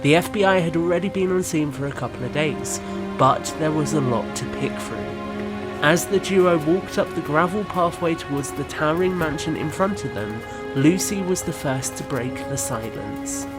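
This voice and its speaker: A man narrating a scripted audio drama in a measured, descriptive storytelling manner. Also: on the high side. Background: background music.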